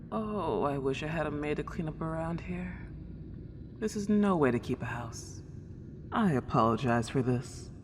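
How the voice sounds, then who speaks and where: A woman playing a friendly, polite and lonely ghost girl a century old, chatting in a genteel, old-fashioned way in an ASMR roleplay drama. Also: low-pitched. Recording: long reverb, clear background noise.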